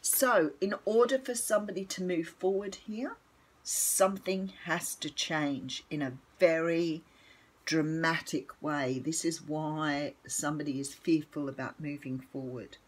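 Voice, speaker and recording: An older Australian woman with a croaky morning voice, chatting casually and rambling through a tarot reading at home with lots of ums. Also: sits low, steady tone, measured pace. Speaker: tarot reader.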